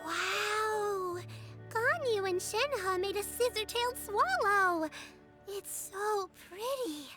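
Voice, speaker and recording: A woman voicing a tiny, childlike, excitable and boastful fairy companion with a squeaky voice in a video game cutscene. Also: slow, heavily acted. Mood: delighted. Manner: speaks of self in third person. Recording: loud music behind the voice.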